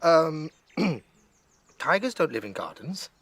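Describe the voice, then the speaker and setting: Man voicing a stubborn little girl, pitch swooping. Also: slow, vocal fry, husky, dry recording, exaggerated voice. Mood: hesitant wonder. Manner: emphatic, exasperated, expressive. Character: stubborn, sceptical, easily bored little girl.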